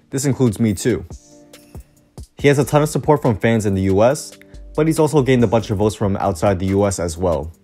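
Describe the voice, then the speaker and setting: A man's voice: lowish voice, dark tone. A young man reading scripted basketball commentary from a prepared text, his voice still a bit off after the flu. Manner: reads a prepared script with stat lists, upbeat. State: recovering from the flu, voice slightly off. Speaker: sports commentary YouTuber.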